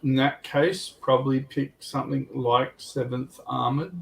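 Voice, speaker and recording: Gravelly, mid-pitched man chatting casually. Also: fairly even intonation, a little slow, a little hiss or hum, low-quality recording. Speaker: livestream panelist giving gaming advice. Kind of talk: casual chat.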